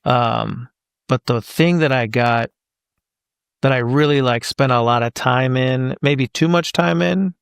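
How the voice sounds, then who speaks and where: A man's voice: mid-range voice, measured pace, creaky, clear, resonant tone, dry recording, clean studio sound. A man in his thirties, a voice actor guest on a podcast, talking casually and thinking aloud with plenty of fillers.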